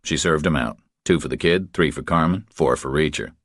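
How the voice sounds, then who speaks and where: A man's voice: rumbling low, a little fast, no room sound, clean studio sound. A man reading a crime thriller audiobook as scripted narration.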